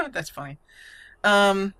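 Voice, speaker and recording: Bright-voiced woman thinking aloud over a game. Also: mid-range voice, sing-song, drawn-out words, no room sound. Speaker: gamer narrating a roleplay playthrough. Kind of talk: casual game commentary.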